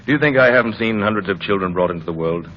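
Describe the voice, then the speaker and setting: Man acting deep-voiced fatherly old doctor, muffled radio. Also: close to an everyday voice. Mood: emphatic, lecturing. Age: older. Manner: paternal, oratorical, with dramatic pauses. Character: fatherly, opinionated older family doctor, solemn and persuasive. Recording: muffled old radio recording, faint noise.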